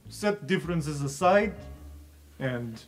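A man's voice: on the high side, expressive, takes it slowly, soft background music. Man playing a stately, proud elephant warrior. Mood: proud, conciliatory. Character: proud, formal elephant-folk warrior guard, earnest and honourable.

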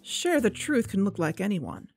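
An actress plays a determined female amateur sleuth in an audio drama. She sounds eager and persuasive, speaking at a middle pitch over music. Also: very animated, moderate pace, close to an everyday voice. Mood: puzzled, suspicious. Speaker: investigator and podcast co-host.

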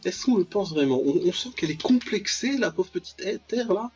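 A man's voice: sits high, animated, normal speed, a little mellow. A young man giving casual, jokey, mock-dramatic commentary while playing a visual novel, muttering asides and reading text aloud. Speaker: gaming video host. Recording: dull lo-fi voice track over clear music.

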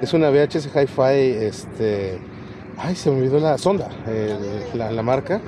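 A man's voice: medium pitch, lively intonation, unhurried, crowd noise. A man in his thirties hosting a casual walk-and-talk vlog at an outdoor swap meet, chatting and rambling as he lists the items he sees, recorded on an action camera. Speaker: vlogger. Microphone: action camera on body or handheld.

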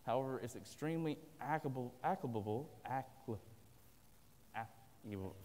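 Southern man, earnest plain-spoken preacher, mid-pitched voice, long church reverb. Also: lively intonation, normal speed, a little mellow, slight background noise. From American South. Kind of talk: sermon. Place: large church sanctuary indoors. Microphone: at a pulpit, filmed by a far camera.

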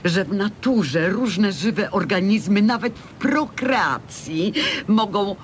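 An actress playing a haughty, sarcastic wife who scolds men imperiously in a comic radio serial. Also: female voice, mid-range voice, lively intonation, slow pace, a little hiss or hum.

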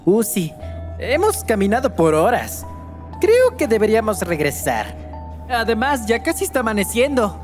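Man playing a friendly robot, wildly swooping high voice. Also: very animated, normal speed, faint music behind. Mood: gentle, hesitant. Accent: Latin American Spanish. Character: friendly, gentle young male robot drone. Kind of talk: animated fan dub acting.

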